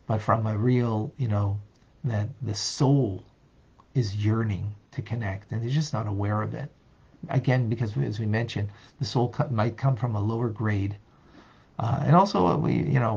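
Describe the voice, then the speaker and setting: An older rabbi teaches a class over a video call, his voice gravelly and husky. He talks in a searching, reflective way, full of restarts and fillers, and the webcam audio sounds a little dull. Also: lowish voice, a little mellow. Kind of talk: interactive online Torah class. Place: office with desk.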